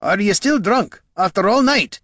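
A man voicing a gruff male fantasy quest leader in an audiobook, barking and scolding in rough, colloquial speech. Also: mid-range voice, animated, a little fast, sharp tone, no room sound, studio quality, voice pushed up, heavily acted. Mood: alarmed, exasperated.

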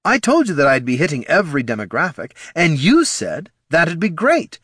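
Man playing a brash, high-pitched undead marketing boss. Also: wildly swooping pitch, moderate pace, fairly bright tone, husky, dry recording, studio quality, exaggerated voice. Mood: brash, defensive. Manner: glib, pushy salesman patter. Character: brash, callous undead skull, male head of marketing.

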